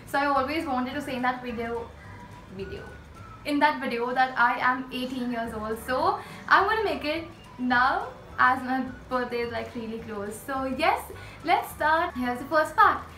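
A woman's voice: sits high, moderate pace, pushed and bright. An eighteen-year-old woman, talking casually to camera in a small indoor room and gesturing expressively with her hands. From India. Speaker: YouTuber. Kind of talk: casual facts-list vlog. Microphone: camera in front, chest-up framing. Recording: roomy echo, faint music behind.

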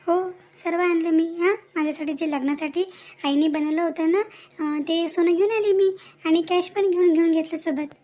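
A woman's voice: extremely high pitch, steady tone, moderate pace, dark tone. A woman voicing a dreamy, plaintive young village woman in a cartoon, with a Vidarbha Marathi accent. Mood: earnest. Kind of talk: amateur cartoon dialogue.

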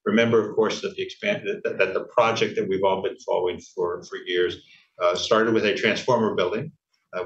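A man giving a formal project report to a board of directors, in a hesitant, measured way with frequent pauses. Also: low voice, a bit bright. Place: large indoor conference room. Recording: echoey, dull sound picked up from far off in a boardroom.

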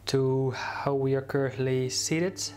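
A man leading a guided meditation, speaking slowly and soothingly with many pauses and restarts. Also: mid-range voice, steady tone, a little mellow.

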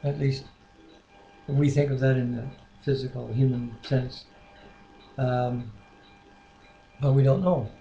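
An older man in his sixties with a creaky, gravelly voice speaks as co-host of a home devotional. He moves between casual talk, prayer and scripture reading. The room echoes around him on the webcam microphone, with faint noise underneath. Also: medium pitch, fairly even intonation, slow pace, pauses often, warm tone, muffled recording. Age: 60s. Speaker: co-host of a Bible reading series. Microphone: webcam microphone at arm's length.

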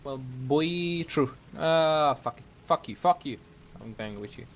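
A man's voice: medium pitch, animated, a little slow. A man in his twenties giving casual gameplay banter, with profane and urgent callouts to his teammate. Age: 20s. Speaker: gaming video creator.

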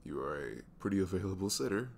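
A man playing a sweet, tired single dad in his twenties in an intimate ASMR roleplay, talking haltingly. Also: sits low, very animated, vocal fry, slight background noise, heavily acted. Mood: reassuring. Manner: hesitant, halting, softly chuckling.

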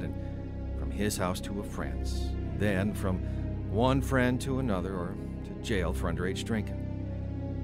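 A man's voice: lowish voice, close to an everyday voice. An actor playing a remorseful man, earnestly narrating his troubled, drug-addicted youth in a radio drama. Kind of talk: scripted first-person radio drama narration.

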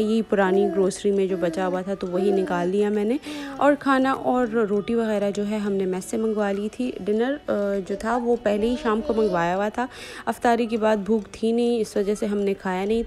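A woman's voice: medium pitch, darkish tone. A young woman, narrating a home vlog in a casual, chatty style. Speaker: vlogger.

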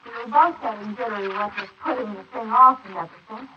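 An actress playing a brazen, impatient, scheming bride in a 1940s radio drama style on an old recording. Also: female voice, a little high, fast talker, natural voice. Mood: smug, scheming. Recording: noisy muffled 1948 wire recording of transcription discs.

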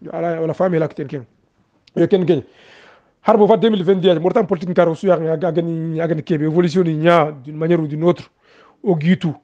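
A man's voice: high voice, mellow, lo-fi sound. A man from Mauritania, a guest author, talking in a hesitant way with many fillers and restarts. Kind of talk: casual interview talk.

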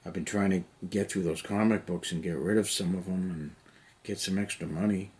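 A man's voice: deep voice, steady tone, fairly quick, a little mellow. A middle-aged man chatting casually and rambling while he paints miniatures in a cluttered basement hobby room, talking to a camera on the table. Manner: rambling, lots of "you know". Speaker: hobby vlogger. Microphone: camera on the table nearby.

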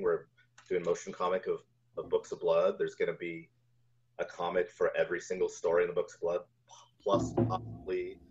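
A deep-voiced man in his forties talks casually as an interview guest. He recounts things in a halting, easygoing conversational way. The sound is slightly dull, like a video call from a room at home. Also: darkish tone. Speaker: guest, composer.

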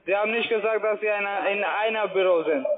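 High-voiced insistent male phone caller. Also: brisk. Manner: insistent, questioning, non-native phrasing. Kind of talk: argumentative sales phone call. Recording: tinny phone line with noisy office crowd. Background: loud office chatter and music.

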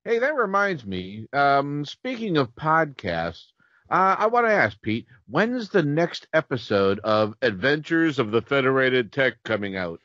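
A man's voice: mid-range voice, sing-song, measured pace, fairly bright tone, dry recording. A man chatting casually as a podcast co-host. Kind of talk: casual chat. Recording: dry but slightly dull recording.

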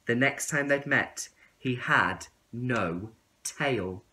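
A man in his thirties reading a storybook aloud to young children in an expressive, deliberate way. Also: medium pitch, low-quality recording.

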